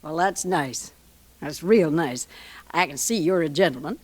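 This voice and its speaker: An actress playing a flirtatious, folksy American madam of a frontier boarding house in a radio western, gushing and familiar. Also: female voice, low-pitched, measured pace, slightly rough. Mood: coy, flattering. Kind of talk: old-time radio western acting.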